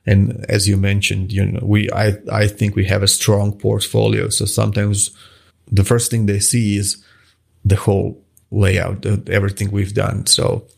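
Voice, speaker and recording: A man's voice: on the low side, monotone, moderate pace, vocal fry. A middle-aged man from Croatia, a native Croatian speaker, answering interview questions in a hesitant, casual way with many restarts. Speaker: guest, watch face designer.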